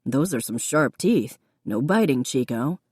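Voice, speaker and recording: Lady doing a chill low guy, gentle and firm, dry sound. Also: animated, measured pace, no echo, full character voice. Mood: amused. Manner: low and soothing. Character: calm young man, steady and gentle.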